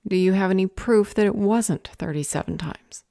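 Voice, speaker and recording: A woman playing a stern, bored council official in a fantasy audio drama, deadpan, clipped and sarcastic. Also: mid-range voice, moderate pace, vocal fry, no room sound, studio quality, natural voice. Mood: brisk questioning.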